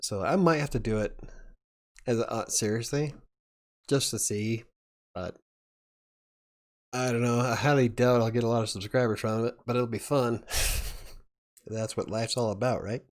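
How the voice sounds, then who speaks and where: A man's voice: medium pitch, steady tone, normal speed, pauses often. A man hosting a casual live chat, rambling and chuckling as he talks. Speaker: livestream host.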